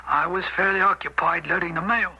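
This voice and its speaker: High, sharp-voiced train guard. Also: male voice, fairly even intonation, a little fast, breathy edge, highs cut off, heavily acted. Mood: eager, explaining. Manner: hesitant, apologetic, chatty. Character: deferential, eager, slightly flustered British train guard. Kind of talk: 1970s radio drama acting. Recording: noisy old radio broadcast recording.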